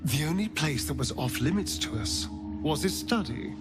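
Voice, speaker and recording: An actor playing a bitter, sardonic, vengeful vampire in a video game, with a haughty, theatrical delivery. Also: male voice, a little high, lively intonation, normal speed, music in the background, natural voice. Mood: grim, resolved. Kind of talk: cinematic video game voice acting.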